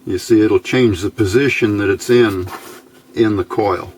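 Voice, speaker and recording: A man giving a casual hands-on electronics tutorial, halting and thinking aloud as he goes. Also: fairly low pitch, steady tone, darkish tone, a little hiss or hum. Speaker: electronics hobbyist video host.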